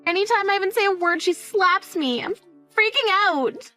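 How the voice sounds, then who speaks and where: A woman's voice: very high voice, expressive, normal speed, bright, forceful tone, faint music behind, voice pushed up, full character voice. A woman playing a frightened teenage daughter, whiny and pleading, in a dramatized story. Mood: scared.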